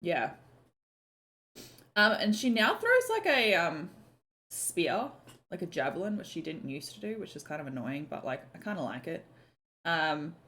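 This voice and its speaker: A young woman, chatting casually on a live stream, filling her talk with "like" and "um", her voice a little croaky. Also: lowish voice, big pitch swings, unhurried, pauses often, a bit bright. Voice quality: slightly croaky. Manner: chatty, lots of "like" and "um", swears casually.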